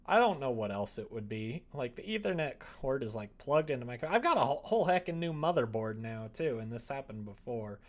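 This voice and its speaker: A young man hosts a casual livestream while he works. His voice sits at an average pitch for a man. He talks in an offhand, sardonic way, grumbling and putting himself down. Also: big pitch swings, fairly quick, few pauses. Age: young adult. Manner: self-deprecating, sardonic grumbling. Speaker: livestream host.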